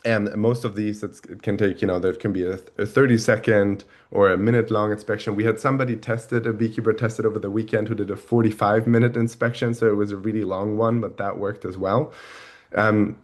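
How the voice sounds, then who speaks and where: A man with a thick German accent hosting a product webinar over a video call, talking in a halting way with many restarts. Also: on the low side, flat delivery. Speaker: webinar host. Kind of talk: product demo webinar talk.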